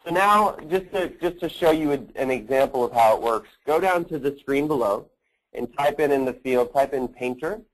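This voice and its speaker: A man presents a product walkthrough as a company representative on a conference call. His pitch is average, and he often pauses partway through a phrase. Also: rough recording.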